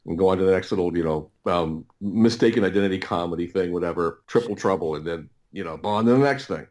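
Middle-aged man, deep voice, casual interview storytelling, halting, repetitive, rambling. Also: darkish tone. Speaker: podcast guest, writer and audio producer.

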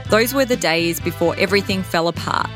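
A woman in her thirties, raised in Serbia, calmly telling her own story in a reflective, wry tone. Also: fairly low pitch, a little fast. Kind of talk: scripted personal narration. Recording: music bed underneath.

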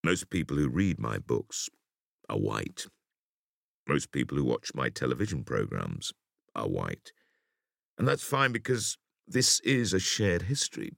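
Very deep, gravelly man reading an audiobook. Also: huge pitch swings, a little slow, a bit bright, no room sound, studio quality. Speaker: audiobook reader. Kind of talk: audiobook reading of an interview essay.

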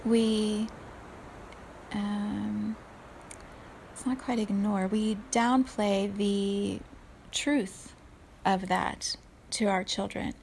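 Woman, 40s, from South Africa; calm reflective solo talk, even and flat in pitch, gravelly texture. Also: slight background noise, rough recording. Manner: unhurried, thoughtful, laughs softly at herself.

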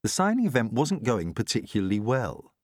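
A man's voice: medium pitch, big pitch swings, fairly quick, dry recording, clean studio sound. A man reading a novel aloud as scripted audiobook narration.

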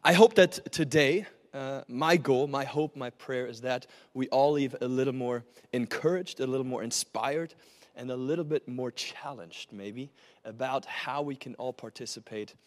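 A man from Germany who partly grew up in Oklahoma, speaking as a guest pastor in an earnest sermon with personal testimony, often repeating words as he goes. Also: medium pitch. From born and raised in northern Germany, then Oklahoma from age twelve.

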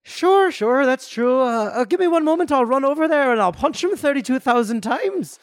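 A man puts on an Irish speedster superhero for improvised comedy. The voice is extremely high and swoops around with lively intonation. He is breezy, eager and boastful in his Irish accent. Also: moderate pace, no room sound, studio quality. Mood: breezy, chatty. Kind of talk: improvised comedy podcast in character.

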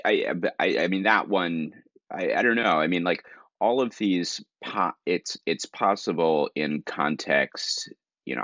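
Very deep-voiced man chatting loosely. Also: a bit bright, dry recording, lo-fi sound. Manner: rambling, full of likes and restarts. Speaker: podcast co-host. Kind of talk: casual conversation.

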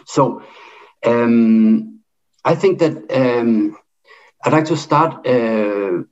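A middle-aged man from Denmark with a Danish accent, speaking in an online debate, halting and full of fillers and restarts. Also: mid-range voice, a little mellow, lo-fi sound.

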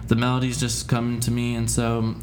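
A man's voice: medium pitch, monotone, normal speed, music in the background. A young man from Spain, a guest musician, giving rambling, hesitant answers full of "like" and "um". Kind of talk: casual interview answers.

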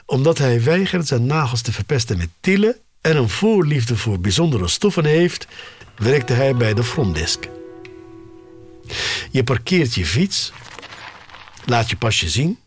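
A man narrating an audio drama in the first person, in a measured, expressive way with pauses between short phrases. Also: medium pitch, soft background music.

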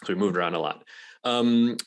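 A man's voice: fairly low pitch, a little fast, a little hiss or hum. A man in his thirties from Malaysia, a podcast guest talking casually about his career with many fillers. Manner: relaxed, rambling with many fillers. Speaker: podcast guest, accelerator co-founder. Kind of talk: casual interview talk.

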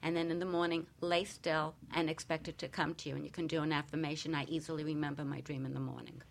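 A woman's voice: deep voice, little pitch movement, quick pace, creaky. A woman giving conversational advice as a guest dream coach on a talk show.

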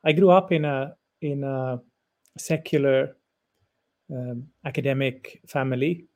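A man around fifty from Finland, whose first language is Swedish, answers interview questions in a thoughtful, halting way with frequent restarts. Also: medium pitch, fairly even intonation, a little mellow. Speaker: guest lecturer.

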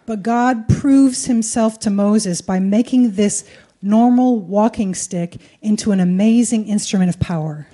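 A woman's voice: medium pitch, a little slow, warm tone. A woman preaching a sermon in short phrases, in a conversational, colloquial way. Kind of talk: sermon delivered in short phrases for live interpretation.

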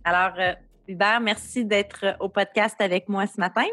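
A woman's voice: mid-range voice, steady tone, moderate pace, a bit bright, no echo. A woman with a Québécois accent hosting a podcast, reading an introduction and then asking conversational questions. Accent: Québécois French. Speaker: podcast host. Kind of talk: podcast interview. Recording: dry with faint music behind.

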